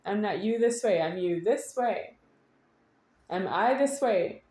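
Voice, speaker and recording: A woman giving a rambling, unscripted monologue to an audience, halting at times with drawn-out words and insistent repetition. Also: medium pitch, animated, dark tone. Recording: echoey room.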